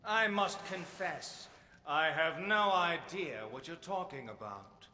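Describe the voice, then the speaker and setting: A man's voice: fairly high pitch, animated, slow, pushed and bright, a little hiss or hum, full character voice. A man playing a courteous, fanatical doomsday cult leader in a video game, ceremonious and unctuous. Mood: unruffled, preaching. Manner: unctuous, ceremonious, over-polite. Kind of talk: theatrical video game voice acting. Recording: echoey hall reverb over game music.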